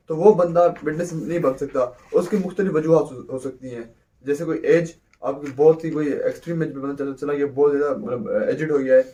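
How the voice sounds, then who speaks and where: A man giving a casual law lecture, explaining slowly, restarting phrases and asking rhetorical questions. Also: on the high side, warm tone. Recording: voice a little far from the mic.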